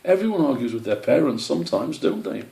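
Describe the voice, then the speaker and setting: A man voicing a young female squirrel in a children's storybook, earnest, gentle and persistent. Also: mid-range voice, big pitch swings, fast talker, gravelly, pitched above the performer's own voice. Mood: insistent. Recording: roomy, echoey sound.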